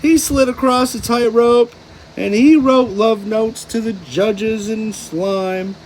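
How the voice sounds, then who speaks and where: A man reading a children's storybook aloud in a playful, expressive, chatty way, with casual asides. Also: high voice, slow, slight background noise, rough recording.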